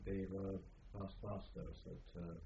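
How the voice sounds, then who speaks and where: An older man from Hungary giving an oral history interview, speaking in a measured, reflective way with frequent pauses. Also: low-pitched, level tone, vocal fry, echoey room, lo-fi sound, background noise.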